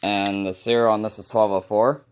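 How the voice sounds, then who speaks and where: Low-voiced man casually presenting. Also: flat delivery, normal speed, slight background noise. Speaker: hobbyist video host. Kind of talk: casual collection showcase narration.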